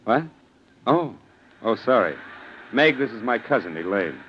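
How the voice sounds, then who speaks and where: An actor playing a breezy, lovestruck young heir with a defiant streak, in 1940s radio drama style. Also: male voice, fairly low pitch, sing-song, a little slow. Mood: uneasy. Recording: muffled noisy old radio recording.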